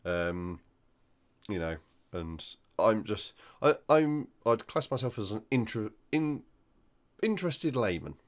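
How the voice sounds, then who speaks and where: Croaky, deep-voiced man, recovering from flu. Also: big pitch swings, slow. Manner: hesitant, many ums and you-knows. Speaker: hobby channel host. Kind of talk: casual news roundup.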